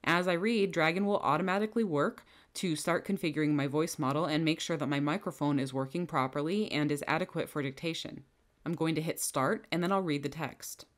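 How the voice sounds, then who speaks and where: A woman giving a clear, step-by-step software tutorial over a screen recording, speaking into a close microphone. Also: sits low, fairly quick. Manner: clear, step-by-step instructional delivery. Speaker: software trainer. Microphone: headset or bench microphone.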